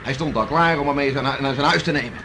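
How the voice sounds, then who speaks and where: An actor playing a hardy, boastful beachcomber husband in a 1960s radio play, bluff and emphatic. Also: male voice, medium pitch, quick pace, lightly acted. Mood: storytelling. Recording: noisy old radio play recording.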